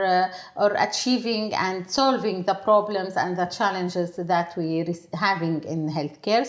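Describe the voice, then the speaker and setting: A woman from Syria, a hospital chief executive, answering interview questions in English in a hesitant way, with many fillers and restarts. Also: mid-range voice. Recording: roomy echo.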